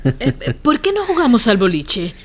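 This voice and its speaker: A woman's voice: medium pitch, huge pitch swings, normal speed, breathy edge. An actress playing an embarrassed, fussy genteel mother in a radio drama, mildly reproving and flustered.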